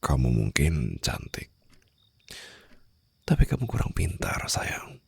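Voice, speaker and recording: A man playing a menacing, condescending mafia boss in an ASMR roleplay, with a gravelly, breathy voice that often drops toward a whisper. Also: a bass voice, wildly swooping pitch, darkish tone, no room sound, full character voice. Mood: menacing, low. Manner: slow, taunting, controlled threats. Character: menacing, condescending young male mafia boss holding a captive.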